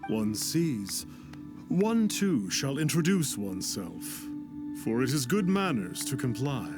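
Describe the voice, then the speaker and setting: A man's voice: medium pitch, sing-song, slow, music in the background. A man voicing a pompous, grandiose self-proclaimed immortal with stately, formal theatrical delivery in a game. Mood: lofty.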